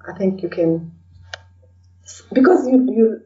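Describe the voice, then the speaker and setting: A woman's voice: medium pitch, expressive, slow, dark tone. A woman being interviewed as a podcast guest, talking casually and hesitantly, thinking aloud with frequent "you know" tags. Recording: dull, lo-fi, distant from the mic.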